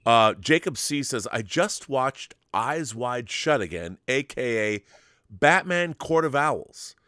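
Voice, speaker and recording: A man's voice: mid-range voice, lively intonation, takes it slowly, sharp tone, no room sound, studio quality. A man hosting a fan show, chatting casually and giving opinions in an emphatic, rambling, sweary way. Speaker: host of a film fan live show. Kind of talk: casual chat and opinionated commentary.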